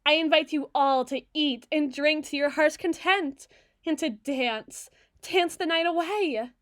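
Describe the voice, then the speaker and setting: A woman voicing a regal, icy evil queen in a scripted ASMR roleplay, speaking in formal, theatrical oratory with deliberate emphasis. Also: high-pitched, no room sound, studio quality. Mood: gracious, regal.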